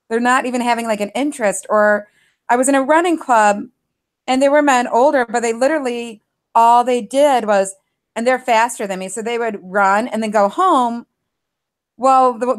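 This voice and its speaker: High-voiced woman, forties, lively talker on a webcam call. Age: 40s. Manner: animated, quick lists of examples. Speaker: podcast host. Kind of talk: casual chat.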